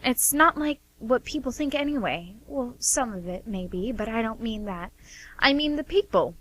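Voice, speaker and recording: Woman acting flustered, high-voiced young woman. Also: expressive, normal speed, fairly bright tone, a little hiss or hum, low-quality recording. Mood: defensive. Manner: chatty, hesitant, self-justifying. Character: defensive, flustered young working woman hiding her profession from family. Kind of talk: radio play monologue.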